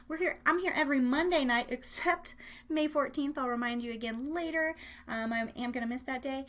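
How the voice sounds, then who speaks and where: A woman with a fairly high voice hosts a live internet radio show. She talks in a casual, chatty, slangy way, addressing her listeners directly as she runs games and banters with the chat. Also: fairly bright tone.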